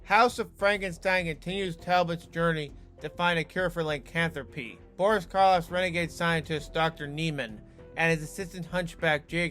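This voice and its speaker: A man's voice: fairly high pitch, sharp tone. A man hosting a scripted video essay, narrating with wry asides to the viewer. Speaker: host.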